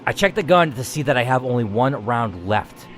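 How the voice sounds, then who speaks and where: A man's voice: medium pitch, animated, normal speed, fairly bright tone, slight background noise. A man reading a first-person game story aloud as the player, in a dramatic narrating style.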